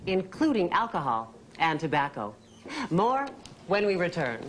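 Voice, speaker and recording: An actress playing a stern, no-nonsense news co-anchor in a scripted sketch. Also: female voice, on the low side, very animated, unhurried, soft background music. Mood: brisk, serious.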